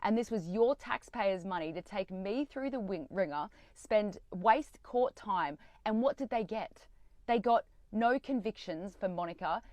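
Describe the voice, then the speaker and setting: A woman's voice: medium pitch, animated, unhurried, a little mellow, no echo. Outspoken woman, emphatic. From Australia. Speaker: campaigner being interviewed. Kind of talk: earnest interview answers and direct-to-camera update.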